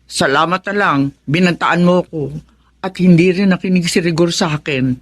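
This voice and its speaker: A middle-aged wife voiced by an actress in a radio drama. She sounds like a reformed neighborhood gossip, sheepish and eager to reassure, with a low voice for a woman. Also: normal speed, close to an everyday voice. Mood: sheepish, reassuring. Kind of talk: Tagalog radio drama acting.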